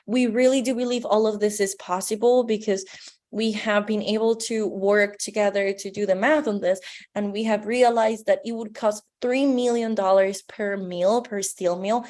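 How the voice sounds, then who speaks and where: A woman's voice: mid-range voice, a little mellow. A young woman from Colombia giving earnest, pleading public testimony at a hearing. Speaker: public commenter.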